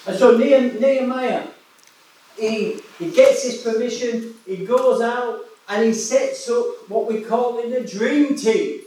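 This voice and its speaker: A man's voice: very high-pitched, measured pace, darkish tone, distant mic. Yorkshire man preaching in an echoey hall. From West Yorkshire, England. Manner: conversational, storytelling, many restarts and repetitions. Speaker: evangelist preacher. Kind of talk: sermon.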